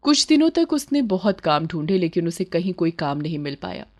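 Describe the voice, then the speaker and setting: A woman's voice: fairly low pitch, very animated, moderate pace. A woman narrating a scripted moral bedtime story as a storyteller.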